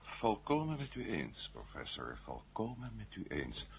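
An actor playing an urgent, persuasive adventurer-investigator in a radio drama, explaining things emphatically and insistently. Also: male voice, fairly low pitch, huge pitch swings, measured pace, creaky, a little hiss or hum, exaggerated voice. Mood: strained patience. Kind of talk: 1970 Dutch radio drama acting. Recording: muffled 1970 radio play recording.

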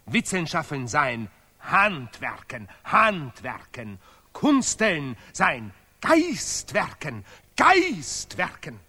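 An actor playing a vain, touchy Nobel laureate artist in a stylized radio play, declaiming emphatically in deliberately broken, repetitive German. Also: male voice, a little high, huge pitch swings, pushed and bright, breathy edge, heavily acted. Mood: boastful. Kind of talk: stylized avant-garde radio play acting.

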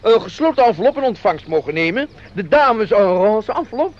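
A man's voice: high-pitched, sing-song, brisk, a crowd in the background. A man acting a fussy, jolly outing organiser in a Dutch radio play, talking like a formal announcer with chuckles. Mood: jovial, officious. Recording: dull radio play recording, busy group behind.

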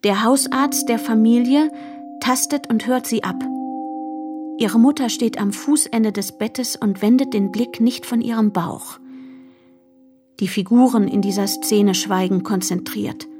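A woman's voice: a little high, fairly even intonation, unhurried, natural voice. An actress playing a young woman who re-enacts a girlhood in radio drama, precise and observant. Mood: observant, tense. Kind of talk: literary radio drama narration, present tense. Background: music.

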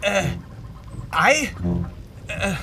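Stammering, high-voiced frightened man. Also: big pitch swings, sharp tone, slight background noise, full character voice. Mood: panicked. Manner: stammering, pleading, halting broken English. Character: frightened slight East German psychologist, stammering in panic. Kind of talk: radio drama acting.

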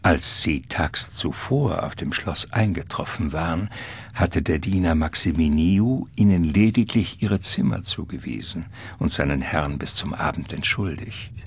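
A man's voice: rumbling low, measured pace, a little hiss or hum. A man narrating a radio drama as a scripted literary storyteller. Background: music.

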